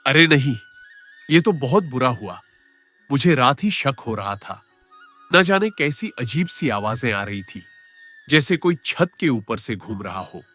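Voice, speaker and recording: A man's voice: mid-range voice, big pitch swings, a little slow, sharp tone, soft background music, full character voice. A man playing an earnest, uneasy village farmer and concerned neighbour in a dramatized moral story.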